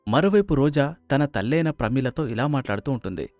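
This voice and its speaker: A man tells a scripted moral story as its narrator. His medium-pitched voice sits in a dry, close recording, with faint music playing underneath. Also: animated, fairly quick, a little mellow, dry recording.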